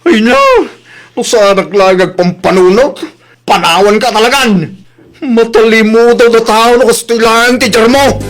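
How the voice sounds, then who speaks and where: High-pitched, scolding Ilocano husband acted by a man. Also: fairly even intonation, a little slow. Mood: angry scolding. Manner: scolding, accusing, exasperated. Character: angry husband whose savings were spent. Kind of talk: comedy radio drama acting.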